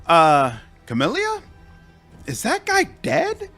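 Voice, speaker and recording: A man's voice: extremely high pitch, slow, soft background music, voice pushed up, exaggerated voice. A man playing a flamboyant, flippant and easily flustered hero commander in a comic fantasy game. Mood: alarmed, squeamish. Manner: excitable, swooping, exasperated.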